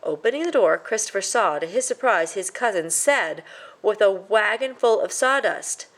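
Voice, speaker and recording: A woman narrates a children's storybook from a script. Her voice sits at a medium pitch and moves up and down in a very lively way as she reads. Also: normal speed, rough recording. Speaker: storybook reader.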